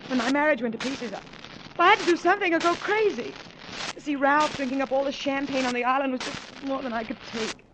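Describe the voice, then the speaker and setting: A woman acts a scheming wife in an old radio drama. She is tense and defensive, with a high voice on a noisy vintage recording. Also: fairly quick, close to an everyday voice. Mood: pleading. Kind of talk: 1940s radio drama acting.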